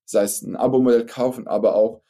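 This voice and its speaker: This is a man with a Luxembourgish accent whose first language is French, chatting as a podcast guest. His voice is dark and mellow. He pauses often and circles back with fillers and restarts as he explains. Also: mid-range voice, steady tone. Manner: halting, many fillers and restarts. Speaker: startup founder guest. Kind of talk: casual podcast interview.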